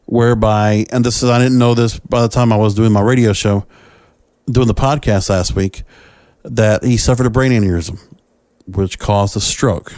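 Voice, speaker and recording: A man's voice: fairly low pitch, steady tone, moderate pace, a little mellow, no echo. Man hosting a solo podcast, close dry sound. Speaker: podcast host.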